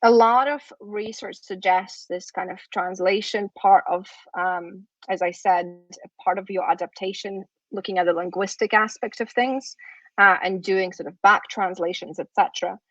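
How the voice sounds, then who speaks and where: A woman's voice: medium pitch, fairly even intonation, moderate pace, a bit bright, no room sound. Woman, Russian native speaker, academic presenter, conversational, dry close sound, lo-fi online audio. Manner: conversational, checks in with "right?". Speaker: workshop presenter. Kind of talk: academic lecture. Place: remote video talk. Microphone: computer microphone on a video call. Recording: dull, lo-fi online conference audio.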